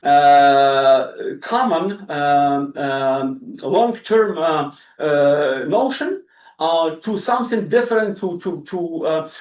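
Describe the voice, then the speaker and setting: A man giving an academic lecture as guest speaker, halting, with many fillers and repeated words. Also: fairly high pitch, expressive, darkish tone. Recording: roomy, distant lecture-hall sound.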